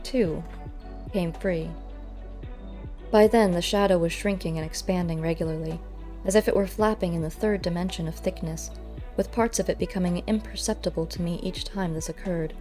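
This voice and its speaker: A woman reading a first-person story aloud as an audiobook narrator, measured and expressive. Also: on the low side. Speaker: audiobook reader. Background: faint music.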